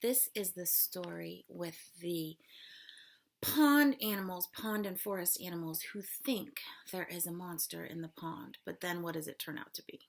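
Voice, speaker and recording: A woman's voice: on the low side, a little slow, creaky. A woman teacher reading a children's story aloud, expressively and dramatically, with explanatory asides. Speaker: elementary school teacher.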